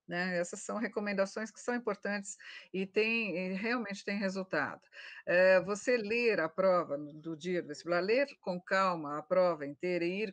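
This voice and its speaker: A middle-aged woman with a Brazilian Portuguese accent, a professor giving measured, reassuring advice on a video call from home. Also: lowish voice, a bit bright, no echo. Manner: measured, advising, repeats reassurances. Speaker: nursing professor. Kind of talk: advice in a live video panel.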